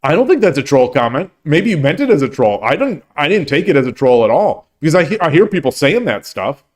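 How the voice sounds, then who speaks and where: A man's voice: a little high, very animated, fairly quick. A man hosting a casual livestream, chatting at length and answering chat comments, with many restarts and fillers. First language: English. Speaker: streamer and host.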